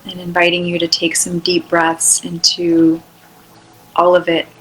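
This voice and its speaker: Slow, even-toned woman guiding meditation. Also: lowish voice, slight background noise, low-quality recording. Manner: soothing, unhurried, with long pauses between short phrases. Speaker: meditation guide. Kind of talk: guided meditation, spoken softly and unscripted.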